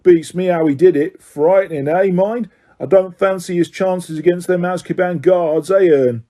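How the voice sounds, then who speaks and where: A man's voice: high-pitched, normal speed, dark tone, pitched above the performer's own voice, close to an everyday voice. A man doing a chatty bus conductor. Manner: gossipy, breathless, dramatic. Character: chatty excitable young male bus conductor.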